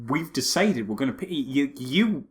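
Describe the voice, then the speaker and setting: A man's voice: mid-range voice, moderate pace. A man co-hosting a podcast, giving casual comedic film commentary and mimicking lines mockingly. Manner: animated retelling with mocking mimicked quotes. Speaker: podcast co-host.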